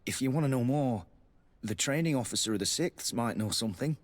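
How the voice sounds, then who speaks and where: Man as a husky, guarded army lieutenant. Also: medium pitch, animated, close to an everyday voice. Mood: grave, confiding. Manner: grave, secretive, measured. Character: guarded, wary male military lieutenant instructor. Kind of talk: video game character acting.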